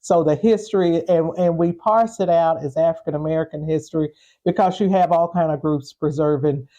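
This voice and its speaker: A woman speaking off the cuff as an interview guest, pausing mid-phrase and repeating words as she goes. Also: sits low, dark tone, dull audio. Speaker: interview guest, historical preservation group organizer. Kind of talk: unscripted interview talk.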